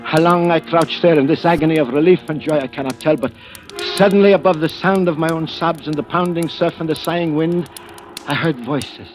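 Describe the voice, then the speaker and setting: Male actor as obsessed treasure hunter, nervous dramatic delivery, slightly high voice, vintage radio thriller, prominent music. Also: brisk, natural voice. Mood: terrified. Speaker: actor narrating and playing the lead. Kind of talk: 1940s-50s radio thriller acting, first-person narration. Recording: old radio recording with music.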